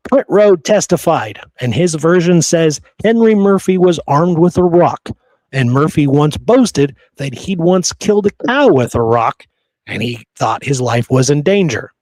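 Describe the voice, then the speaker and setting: A man's voice: fairly high pitch, darkish tone, no echo, poor audio. A man hosting a history show, telling stories conversationally from his research notes with casual, amused asides.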